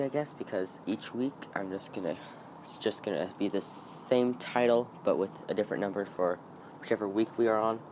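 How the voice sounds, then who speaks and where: Host type: casual rambling man, low voice, bit rough from recent illness, faint street noise behind. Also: darkish tone. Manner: chatty, rambling explanations. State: voice a bit off after being sick. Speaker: channel host. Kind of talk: casual vlog talk to camera. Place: outdoors by a street in the early morning.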